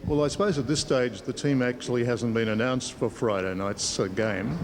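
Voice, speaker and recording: A man's voice: medium pitch, steady tone, moderate pace, a little hiss or hum. A mature man with an Australian accent interviewing in a conversational sports broadcast style.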